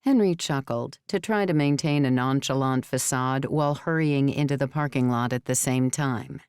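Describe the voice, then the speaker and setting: A female audiobook narrator reads scripted fiction. Her voice is very low for a woman, smooth and resonant, recorded close with no room sound. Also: normal speed, darkish tone, no room sound.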